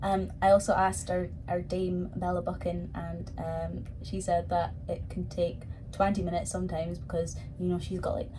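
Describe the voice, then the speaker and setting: A woman's voice: fairly low pitch, level tone, moderate pace, few pauses, background noise. A woman who performs in pantomime, answering questions in a casual, chatty way.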